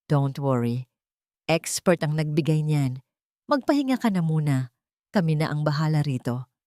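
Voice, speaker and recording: A woman's voice: low-pitched, expressive, normal speed, mellow, no room sound, clean studio sound. A woman voicing a deceitful aunt who sounds falsely cheerful and composed, mixing English phrases into her talk. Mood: smug, reassuring.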